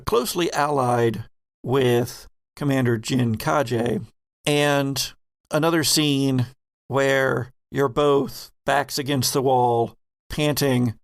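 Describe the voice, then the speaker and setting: Gravelly male game master narrating. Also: medium pitch. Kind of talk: narrating a tabletop horror game.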